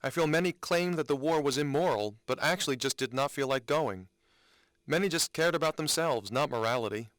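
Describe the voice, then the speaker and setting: A man giving a dramatic reading of written commentary as an earnest figure, solemn and deliberate. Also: fairly high pitch, fairly bright tone, dry recording, studio quality, close to an everyday voice. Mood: bitter, earnest. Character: earnest man honoring veterans, solemn and aggrieved.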